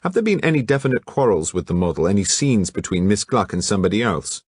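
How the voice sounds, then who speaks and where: Male narrator as courteous inspector, firm, measured. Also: on the low side, big pitch swings, a little mellow, husky, no echo, voice pushed up.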